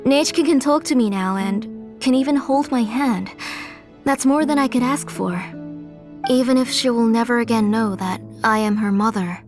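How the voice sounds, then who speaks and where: Game role: a gentle, soft-spoken mother, voiced by an actress, slightly high pitch, music under the lines. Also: moderate pace, natural voice. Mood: sorrowful. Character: gentle mother caring for her ill daughter. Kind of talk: dubbed video game cutscene.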